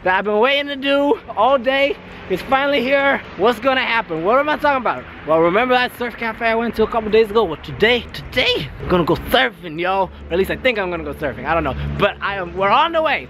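A young man chatting casually to his vlog camera in slangy, excitable talk. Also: extremely high pitch, fast, a little hiss or hum. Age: young adult. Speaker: vlogger.

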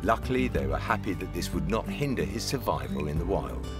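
Male narrator, low voice, measured scripted read, music underneath. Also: big pitch swings.

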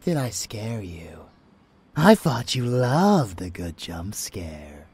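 A man acting as an unhinged, seductive male vampire lover in an intimate ASMR audio roleplay, breathy and often whispering, with teasing, menacing affection. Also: on the low side, very animated, slow pace, darkish tone, heavily acted. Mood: playful, teasing.

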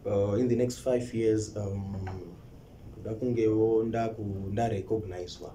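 A young man with a Zimbabwean accent, a musician guest giving calm, short answers in a studio interview. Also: lowish voice, a little slow. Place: indoor TV studio. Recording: roomy studio with faint noise.

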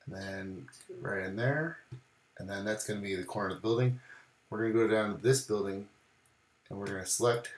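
A man's voice: lowish voice, brisk, long pauses, echoey room. A man still getting his voice back after bronchitis, casually walking through a photo edit in a screen-recorded tutorial. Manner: casual, relaxed walkthrough with many "we're gonna". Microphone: voice-over on screen recording.

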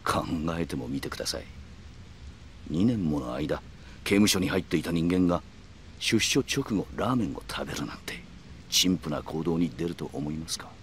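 An actor playing a calm, calculating middle-aged yakuza man who speaks in polite, measured, formal phrases in a video game. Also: extremely low pitch, a little hiss or hum. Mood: measured, insinuating. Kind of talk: Japanese video game cutscene acting.

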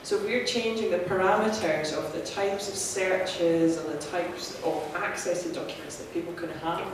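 A woman's voice: fairly low pitch, fairly even intonation, moderate pace, distant mic. Woman in echoey hall, fluent panel talk. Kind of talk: conference panel remarks. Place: conference room, seated at panel table. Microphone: handheld mic. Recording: echoey room heard from afar, noisy.

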